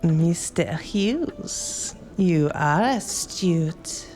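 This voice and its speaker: A woman's voice: on the low side, expressive, very slow, darkish tone, soft background music. An actress playing a genteel, nervous lady hostess in a radio play, prim and anxious.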